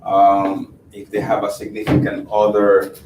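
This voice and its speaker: A man's voice: lowish voice, completely flat delivery, unhurried, darkish tone, reverberant, far from the mic, slight background noise, low-quality recording. A man with a Spanish accent, a sales agent who answers questions in a meeting in a hesitant way, with many fillers.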